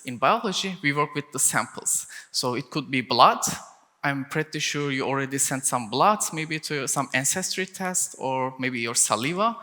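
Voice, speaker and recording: A man's voice: a little high, bright, forceful tone. A young man from Turkey, giving a technical conference talk in English, often linking ideas with "and then" and restarting phrases. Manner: frequent "and then" and restarts, non-native phrasing. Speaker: conference speaker. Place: conference hall. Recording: reverberant conference hall.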